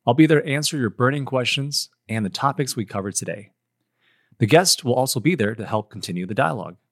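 A man's voice: mid-range voice, expressive, fast, husky, no room sound, studio quality. A man delivering a scripted promotional announcement for a podcast.

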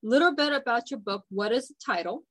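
A woman's voice: a little high, expressive, brisk, fairly bright tone, no room sound. A woman hosting a radio interview, asking questions and affirming the guest's points. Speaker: radio show host.

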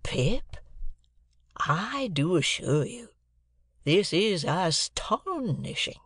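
A storyteller voicing a slow, kindly country blacksmith who talks in halting, rambling phrases with mangled grammar. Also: mid-range voice, sing-song, no echo, studio quality, heavily acted. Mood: dumbfounded.

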